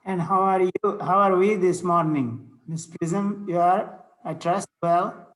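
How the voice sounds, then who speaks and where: A man's voice: high voice, fairly even intonation, takes it slowly, fairly bright tone, low-quality recording. A man playing a courteous, fussy country clergyman in a Victorian comedy table read, formal in manner. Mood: genial, courtly.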